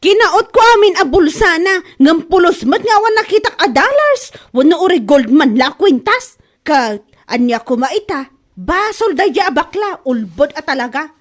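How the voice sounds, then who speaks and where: A woman playing a quarrelsome, gullible, indignant woman in a comedy audio drama, with an Ilocano accent and drawn-out exasperated delivery. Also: very high voice, very animated. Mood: aggrieved, complaining. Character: quarrelsome, gullible woman accusing a bag seller of lying. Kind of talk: Ilocano comedy radio-style drama acting.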